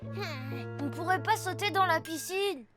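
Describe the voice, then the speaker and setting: A woman's voice: extremely high pitch, unhurried. A woman voicing a spirited, playful young child in a French cartoon dub. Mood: eager. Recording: loud music behind the voice.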